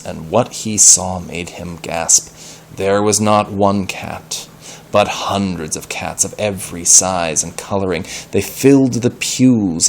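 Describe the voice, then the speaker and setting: A man reading a story aloud in an animated style, pausing to explain words and ask questions. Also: low-pitched, creaky, slight background noise, low-quality recording.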